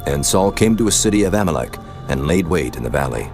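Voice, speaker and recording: A man narrating scripture in a solemn, steady storytelling manner. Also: a bass voice, quick pace, over music.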